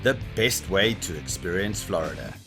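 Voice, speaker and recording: A man reading a sponsor announcement as a voice-over in a measured, formal way. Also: deep voice, animated, over music.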